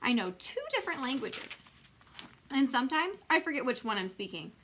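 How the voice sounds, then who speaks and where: An eager, friendly first-grade boy in a storybook, acted by a woman. The voice is bright and bouncy in pitch, with cheerful exclamations. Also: big pitch swings, moderate pace, slight background noise. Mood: cheerful, hurried. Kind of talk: children's storybook character voice.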